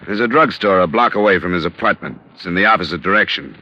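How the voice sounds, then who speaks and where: Old radio detective, american, really low voice, sober and matter of fact, muffled vintage recording. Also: male voice, fast. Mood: businesslike, insistent. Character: sober, dogged male freelance insurance investigator. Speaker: radio drama lead actor.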